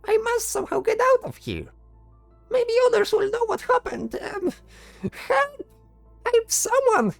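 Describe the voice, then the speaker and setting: A woman's voice: very high voice, huge pitch swings, measured pace, gravelly, no echo, faint music behind, full character voice. A woman voicing a fretful, sarcastic flying skull companion in a fantasy audio drama. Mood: panicked. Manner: exasperated, sarcastic.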